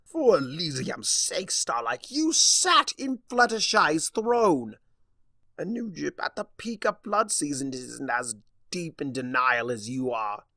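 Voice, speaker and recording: A man acts out a mocking, vengeful trickster of chaos for a storybook reading. The creature is pompous and wordy, taunting in long, leisurely phrases. The pitch leaps about and the voice often drops into a gravelly creak. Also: big pitch swings, slow pace, bright, forceful tone, no echo, full character voice. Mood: indignant scolding. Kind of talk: dramatic fan fiction audiobook reading.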